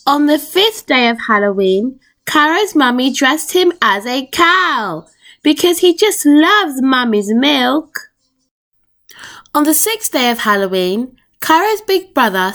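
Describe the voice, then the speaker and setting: A woman reading a children's picture book aloud in an expressive storytelling manner. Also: high-pitched. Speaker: storytime reader.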